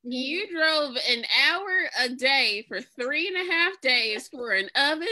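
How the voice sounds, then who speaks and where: A woman's voice: sits high, expressive, slow pace, pushed and bright, full character voice. A woman playing a folksy, defensive male pastor who runs a bakery, theatrical and emphatic in improvised comedy.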